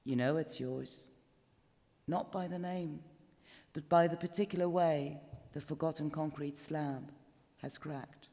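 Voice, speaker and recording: A man reading a literary ghost story live as narrator, in a measured, deliberate and dramatic manner. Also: on the high side, fairly even intonation, creaky, warm tone. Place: indoors, stone chapel. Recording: reverberant chapel live recording.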